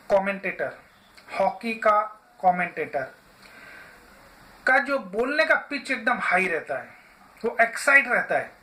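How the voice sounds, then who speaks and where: High-pitched, animated man teaching slowly. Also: rough recording. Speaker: voice therapist and yoga therapist teaching. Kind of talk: instructional talk to viewers.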